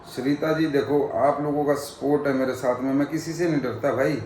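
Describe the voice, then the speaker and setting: A man vlogging in casual chat with a friend, talking in an animated, emphatic way. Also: mid-range voice, normal speed, reverberant, a little hiss or hum, rough recording. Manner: animated, emphatic, talks over others.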